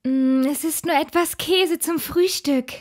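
A woman voicing a gentle, kind young servant girl, soft and earnest, in a storybook cartoon. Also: high-pitched, unhurried, voice pushed up. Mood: hurt. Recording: music under the voice.

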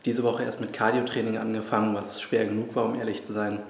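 A man's voice: lowish voice, fast talker, gravelly. A man in his thirties, a personal trainer, casually touring his small gym and talking to viewers as friends. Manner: relaxed, chatty, addressing viewers as friends. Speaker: personal trainer and fitness vlogger. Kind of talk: casual vlog tour and update. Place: small private gym, indoors. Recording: echoey room with faint background hum.

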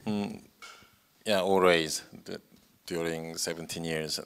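A middle-aged man with a Korean accent, a film director on a panel, talking rapidly and halting when he switches to English. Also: sits low, steady tone, a bit bright. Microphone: handheld mic.

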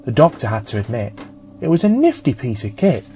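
A man narrating a scripted story as an audiobook reader, with a measured storytelling manner. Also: medium pitch, very animated, dark tone, slight background noise.

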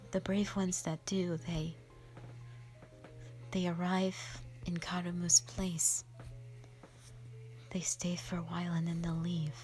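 A woman acts out an ancient she-dragon, gentle and protective of her kin. Her voice is low and hushed, almost all breath, and it moves very slowly, halting under old sorrow. It plays as an intimate audio drama monologue, with music behind it. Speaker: audio roleplay performer. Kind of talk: soft whispered dramatic monologue, ASMR-like.

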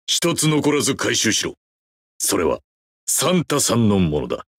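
Raspy lowish male demon trainer, stern, proud, imperious, clipped, grandiose. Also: wildly swooping pitch, moderate pace, fairly bright tone, no echo, clean studio sound, full character voice. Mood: commanding. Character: stern, imposing red horned demon trainer, proud and commanding. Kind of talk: anime game character voice acting.

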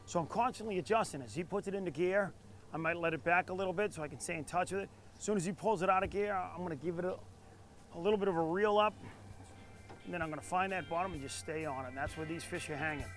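A man's voice: sits high, quick pace, fairly bright tone, soft background music. A middle-aged man hosting a fishing show from a small boat at sea, explaining techniques in a casual, friendly way. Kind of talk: casual on-camera fishing talk.

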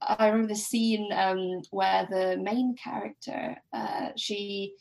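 A woman's voice: medium pitch, fairly even intonation, slow, creaky, darkish tone. A woman guest on a podcast discussing a book casually, thinking aloud in a hesitant way.

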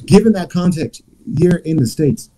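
Dark-voiced man on a video call. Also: high-pitched, expressive, moderate pace, low-quality recording. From Liberia. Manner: rambling, repeats words, many fillers. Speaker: guest. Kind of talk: casual video call talk. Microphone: laptop webcam on video call.

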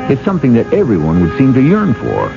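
A man hosting a radio drama, giving scripted suspense narration in a measured, musing way. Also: medium pitch, wildly swooping pitch, gravelly, music in the background. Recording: dull old radio broadcast.

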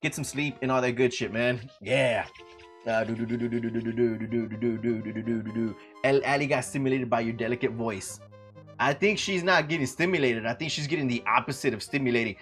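A man's voice: mid-range voice, brisk, a bit bright, soft background music. A young man live-streaming a video game from home, chatting loosely and cursing as he talks to his chat. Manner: loose, profane, talks to chat, jokes around. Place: home room, seen on webcam.

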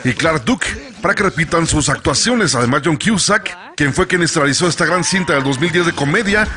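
A man's voice: on the high side, expressive, brisk, bright, forceful tone, soft background music. A man hosting a movie-trailer roundup in an upbeat announcer read. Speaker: entertainment show host.

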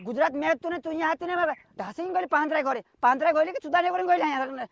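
A woman's voice: high voice, fast, vocal fry. A woman in a heated argument on a phone call, scolding abusively and repeating herself.

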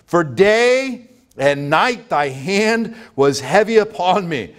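A middle-aged American pastor delivers a sermon in a high-pitched voice. He is emphatic, leaning on repetition and rhetorical questions to drive each point home. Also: expressive, takes it slowly, fairly bright tone. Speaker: pastor preaching. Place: church sanctuary, at a pulpit before a congregation.

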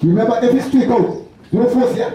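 A man's voice: very high voice, a little fast, dark tone. A man with a Vincentian Caribbean accent performing live dramatized banter and chanteys, calling out in repeated phrases. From St. Vincent and the Grenadines. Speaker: performer in a whaling chantey group. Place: open-sided festival tent stage. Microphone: distant audience camera. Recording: echoey, noisy festival tent, far off.

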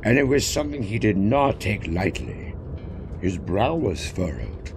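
A man's voice: fairly low pitch, big pitch swings, measured pace. A man reading a scripted story as its narrator. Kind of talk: scripted narration of fan fiction. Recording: clear background noise.

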